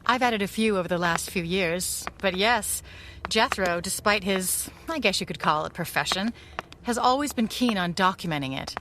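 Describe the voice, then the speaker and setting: An actress playing a composed, guarded widowed mother in an audio drama, speaking in a measured, conversational way. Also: medium pitch, noisy background, lightly acted. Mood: thoughtful.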